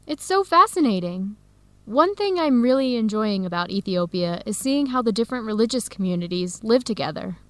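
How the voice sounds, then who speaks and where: Enthusiastic woman researcher, American accent, curious, animated intonation, simple phrasing, radio drama, dry studio sound. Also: fairly high pitch, fairly quick, no room sound. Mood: curious, fascinated. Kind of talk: educational radio drama acting.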